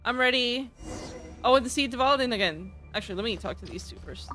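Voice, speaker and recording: A woman with a fairly high voice reacts live as a game streamer. She is chatty and informal, talking casually over clear game music. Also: animated, brisk, sharp tone.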